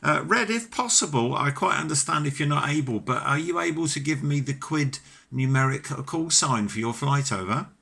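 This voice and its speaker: A man's voice: a little high, lively intonation, normal speed, creaky, pushed and bright, breathy edge. A middle-aged British man chatting casually as an amateur radio operator, courteous and talkative. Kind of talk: casual ham radio chat.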